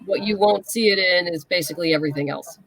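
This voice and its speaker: A woman's voice: lowish voice, fast, creaky, a bit bright, rough recording. A woman speaking casually as the guest in an interview.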